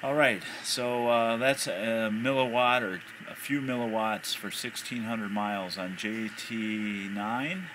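American male hobbyist, lowish voice, casual hesitant walk-through, light background noise. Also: takes it slowly, few pauses. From United States. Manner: hesitant, thinking aloud. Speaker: amateur radio hobbyist. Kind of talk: casual off-the-cuff demonstration commentary. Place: indoors at a home radio desk. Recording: noisy handheld home recording.

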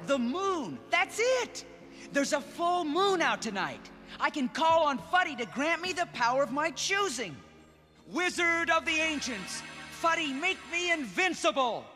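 A man's voice: extremely high pitch, sing-song, unhurried, sharp tone, heavily acted. An actor playing an earnest young male ghost-hunting hero in a cartoon, urgent and heroic. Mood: urgent, determined. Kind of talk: 1980s cartoon acting. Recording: reverberant, music behind.

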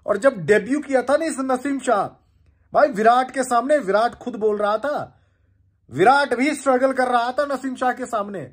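A young man from India giving an animated, casual cricket reaction from a couch indoors, talking excitedly and gesturing as he goes. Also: very high-pitched, moderate pace, slightly rough. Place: indoor room on a leather couch.

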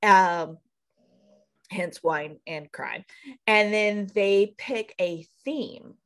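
A woman's voice: medium pitch, extremely slow. A woman from Nebraska with a Nebraskan accent, co-hosting a podcast in casual, rambling chat over a webcam from a room at home. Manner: rambling, self-deprecating, laughs at herself. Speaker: podcast co-host. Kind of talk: casual chat on a video call. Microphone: video call webcam.

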